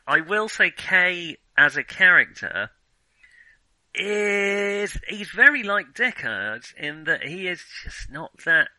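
A man's voice: high voice, big pitch swings, slow pace, sharp tone, dry recording, low-quality recording. A man co-hosting a casual film discussion, giving opinions hesitantly as he thinks them through. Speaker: film podcast co-host.

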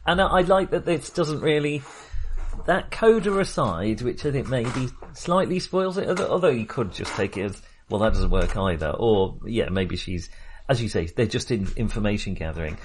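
A man's voice: medium pitch, lively intonation, moderate pace, a little hiss or hum. A British man co-hosting a casual film discussion, speaking in a hesitant, rambling way and often interrupting himself. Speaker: film podcast co-host.

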